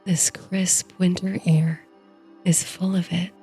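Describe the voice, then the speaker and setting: Soft low woman reading a sleep story, hushed n breathy, mellow, faint music under it. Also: flat delivery, takes it slowly. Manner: soothing, hushed, breathy delivery. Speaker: host and narrator of a sleep story series. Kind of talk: soft scripted bedtime story and guided meditation narration.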